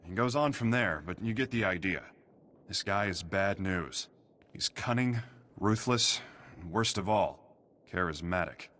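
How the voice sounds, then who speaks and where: An actor playing a gruff, duty-bound prison station officer in a video game, giving brisk military briefings. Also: male voice, low voice, expressive, a bit bright. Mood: stern, urgent.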